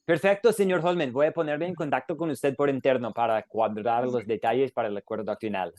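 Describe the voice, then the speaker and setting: A man teaching an online Bible class who closes with a prayer, speaking gently, with many fillers and restarts. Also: mid-range voice, brisk, no echo, studio quality. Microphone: video call.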